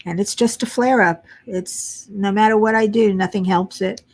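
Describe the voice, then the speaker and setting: A middle-aged woman with a low voice, tired from lack of sleep, chatting casually to viewers as host of a crafting livestream. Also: steady tone, normal speed, a little mellow. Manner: chatty, muttering while searching for pieces. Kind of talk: casual live chat while diamond painting.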